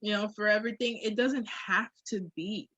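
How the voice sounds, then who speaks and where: A woman's voice: mid-range voice, fairly even intonation, moderate pace, a bit bright, slightly rough, dry recording. A woman in a candid, casual conversation, speaking in an emphatic, reflective way with frequent swearing. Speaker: podcast guest or co-host.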